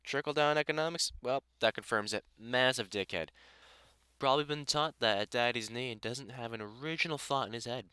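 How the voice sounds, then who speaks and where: A man's voice: mid-range voice, a little fast, sharp tone, clean tone, no echo, close to an everyday voice. A man playing a middle-aged British vampire hunter and carpenter in an intimate audio roleplay, blunt, sweary and teasingly affectionate. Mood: scornful, amused. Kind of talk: audio roleplay acting, intimate one-sided conversation.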